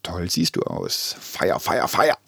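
A man's voice: deep voice, wildly swooping pitch, takes it slowly, vocal fry, a bit bright, slightly rough, dry recording, clean studio sound, heavily acted. A man playing a keen social media commenter in a radio collage of read comments. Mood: admiring.

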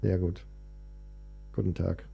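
An actor playing a proud, wounded 19th-century composer in a German radio play, with a theatrical, formal literary delivery. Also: male voice, low voice, flat delivery, slow pace, vocal fry, over loud music, exaggerated voice. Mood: formal, restrained.

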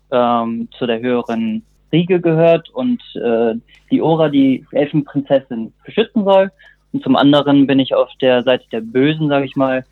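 A man's voice: on the high side, narrow, tinny audio. A man performer talking in an informal interview, often correcting himself as he goes, heard over thin call audio. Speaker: musical performer.

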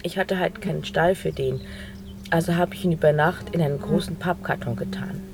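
A woman's voice: deep voice, a little fast. A woman narrating a scripted literary audio play, telling it unhurriedly in short phrases. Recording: noisy recording with clear background noise.

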